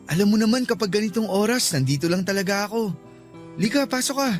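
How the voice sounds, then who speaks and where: Young male lover for radio romance, played by a man: earnest, imploring, soft music bed underneath. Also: high voice, lively intonation, fast. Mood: sleepy, surprised. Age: young adult. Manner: pleading, earnest. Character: earnest young man, pleading suitor and concerned boyfriend. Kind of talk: Tagalog radio love drama acting.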